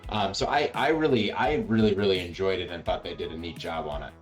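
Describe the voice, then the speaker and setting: Low-voiced man reviewing, halting delivery. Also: soft background music. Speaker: co-host or guest reviewer. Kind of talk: casual opinion chat.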